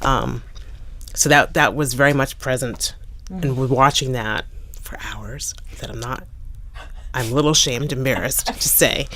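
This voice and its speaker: A middle-aged woman, a playwright in a panel discussion, speaking haltingly and reflectively as she searches for words. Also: very deep voice, fairly bright tone. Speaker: playwright, interview guest.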